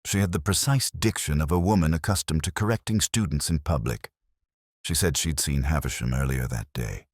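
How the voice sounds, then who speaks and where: A man narrating a scripted first-person detective story in a measured, literary, reflective manner. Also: rumbling low, no echo, studio quality.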